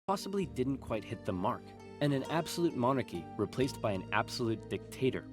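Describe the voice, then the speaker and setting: A man's voice: mid-range voice, animated, brisk, music in the background. Guy narrating a funny history cartoon, voice bouncing around, wry. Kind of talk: comedic scripted history narration.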